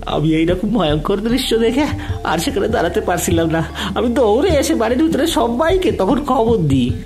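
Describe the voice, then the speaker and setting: A man's voice: high-pitched, expressive, a little mellow, soft background music. A man playing a meek, anxious forty-year-old male household cook in an audio drama, speaking deferentially. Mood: anxious, recounting. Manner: deferential, halting, testifying as a servant. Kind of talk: Bengali audio drama acting.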